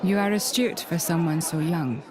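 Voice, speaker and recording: A woman's voice: on the low side, lightly acted. A woman playing a composed, dry, formal imperial officer in a video game. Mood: cool, probing. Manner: formal, measured, cool. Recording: game music and crowd behind.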